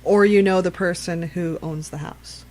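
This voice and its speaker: A woman's voice: lowish voice, fairly even intonation, normal speed, a little mellow. A woman co-hosting a casual show, earnestly explaining safety tips at length. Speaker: podcast co-host. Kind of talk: casual chat.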